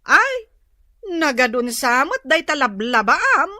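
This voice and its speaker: An actress playing a boastful housewife in a comic radio drama, taunting and smug, with an Ilocano accent. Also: female voice, sits high, big pitch swings, takes it slowly, pushed and bright, exaggerated voice. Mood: smug, gloating. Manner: taunting, mock-sympathetic, chuckling. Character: boastful, smug housewife showing off her cooking. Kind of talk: radio drama comedy acting.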